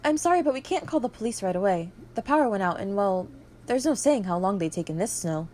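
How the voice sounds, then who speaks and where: A woman's voice: medium pitch, expressive, fast, darkish tone, a little hiss or hum, lightly acted. A woman playing a bossy, hospitable lodge keeper in an amateur puppet show, with a theatrical storytelling manner. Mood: brisk, commanding.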